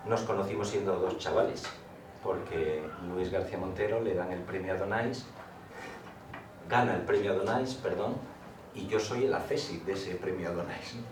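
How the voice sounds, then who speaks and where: An older man with a Castilian Spanish accent speaks in a low, deep register. He has the reflective, wandering manner of a poet telling stories. The recording is echoey and noisy, as if picked up from across a room. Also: normal speed, distant mic. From Asturias, Spain, in Madrid from age eight. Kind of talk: conversational storytelling with recited verse. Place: school classroom, talk to teachers.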